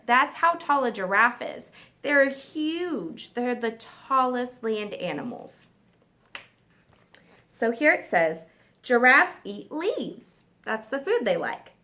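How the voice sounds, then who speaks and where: Bright-voiced woman teacher reading to children. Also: fairly high pitch. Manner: simple child-directed questions, emphasizing key words. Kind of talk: children's nonfiction read-aloud with explanatory asides.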